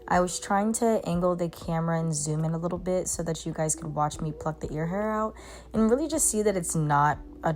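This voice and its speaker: A woman's voice: low voice, darkish tone. Chatty young woman groomer vlogging. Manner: chatty, talks to dogs in baby talk. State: congested. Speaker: mobile pet groomer. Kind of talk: casual vlog narration. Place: mobile grooming van.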